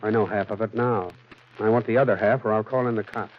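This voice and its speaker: An American actor playing a heavyset, gruff, suspicious private detective in a terse, hard-boiled 1940s radio drama style. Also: male voice, lowish voice, fairly even intonation, fast talker, lightly acted. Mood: hard, accusing. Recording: muffled, noisy vintage radio recording.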